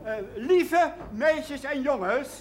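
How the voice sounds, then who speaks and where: A man playing a formal, flustered schoolmaster who addresses children haltingly and declaims in a television drama. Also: very high voice, expressive, heavily acted. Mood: flustered, commanding. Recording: loud crowd, very noisy old soundtrack.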